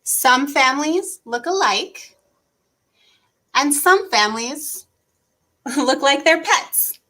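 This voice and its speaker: A woman's voice: sits high, animated, slow, pushed and bright. A woman hosting an interactive read-aloud for young children, speaking in an encouraging way with simple phrasing. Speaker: children's librarian and story time host.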